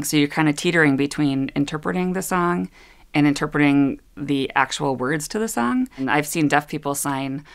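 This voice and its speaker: A woman's voice: deep voice, gravelly. A middle-aged woman, a sign language interpreter, talking earnestly in an interview, often restarting her sentences and laughing easily. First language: English. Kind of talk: interview talk.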